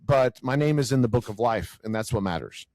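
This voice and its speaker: A man with a self-described growly, loud voice, speaking earnestly in a casual faith conversation and often repeating words as he searches for them. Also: medium pitch, lively intonation, brisk, no room sound.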